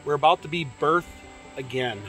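A man's voice: a little high, normal speed, pushed and bright, faint music behind, low-quality recording. A middle-aged American man giving an easygoing, casual walkthrough narration as a travel vlogger, with short musing asides. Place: outdoors at a mountain garden and in narrow rock crevices. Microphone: phone held at arm's length or in hand.

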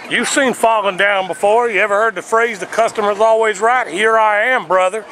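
High-pitched bossy crank caller played by a man. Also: fairly even intonation, fairly quick, pushed and bright. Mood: bossy, rambling. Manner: demanding, badgering, absurd insults. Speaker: prank caller. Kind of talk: improvised prank phone call. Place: outdoors on a city sidewalk. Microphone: handheld camera up close. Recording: noisy outdoor street with traffic.

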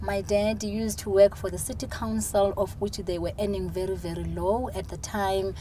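A woman's voice: mid-range voice, flat delivery, moderate pace, warm tone, low-quality recording. Earnest South African woman in a car. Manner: earnest, repeats words when starting sentences. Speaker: interview guest, city council official. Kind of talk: conversational interview. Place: back seat of a moving car. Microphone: lapel mic. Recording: car with road noise behind.

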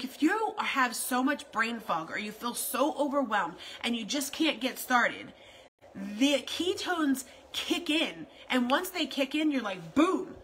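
A woman's voice: sits high, bright, forceful tone, slight background noise, poor audio. A woman in her forties talking casually and repetitively on a phone live stream from her home kitchen, promoting a wellness product in a rambling, salesy way. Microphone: phone camera at arm's length.

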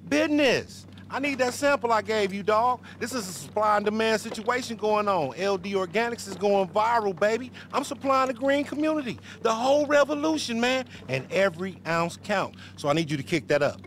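Brash hustler, high voice, boastful, teasing, slangy, game cutscene, slight background noise. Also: male voice, expressive, a little fast, few pauses, a bit bright. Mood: cocky. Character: brash, loud, wisecracking male street hustler. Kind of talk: video game cutscene acting.

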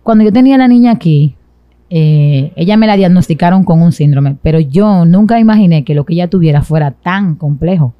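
A woman's voice: lowish voice, expressive, a little fast, no room sound, lo-fi sound. A young woman with a Dominican accent, telling her own story as a guest in an interview. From Dominican Republic. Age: young adult. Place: small indoor studio set with a sofa. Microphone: boom-arm microphone close to the mouth.

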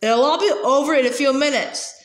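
Brief: a woman doing a shy, jittery boy in a storybook, high voice, echoey room. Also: fairly quick, natural voice. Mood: anxious. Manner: timid, hesitant. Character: timid, nervous schoolboy. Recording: roomy echo.

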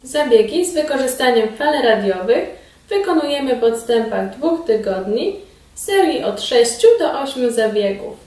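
A woman in her thirties giving formal, measured scripted instructions as a trainer in a small indoor room. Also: on the high side, lively intonation, darkish tone. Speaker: instructor presenting a beauty device. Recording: roomy echo, distant mic.

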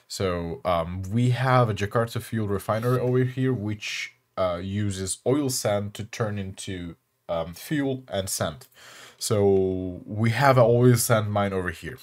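Slow, deep-voiced Turkish man. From Turkey. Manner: halting, many fillers and restarts. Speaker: channel host. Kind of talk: casual gameplay commentary.

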